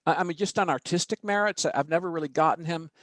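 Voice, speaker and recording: A man hosting an interview podcast, chatty and quick to laugh. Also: a little high, a bit bright, dry recording. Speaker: podcast host.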